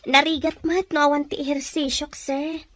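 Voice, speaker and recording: A Filipino woman playing a young pregnant woman in an audio drama, modest, respectful and gently stubborn. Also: high-pitched, little pitch movement, moderate pace, no room sound. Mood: shy, respectful.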